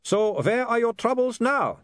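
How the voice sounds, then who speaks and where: A man's voice: very high voice, lively intonation, a little slow, dry recording, pitched above the performer's own voice. A man voicing a cheerful, unflappable male vampire who talks in a breezy, teasing way, in a dry, close recording. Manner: breezy, teasing, lightly sardonic.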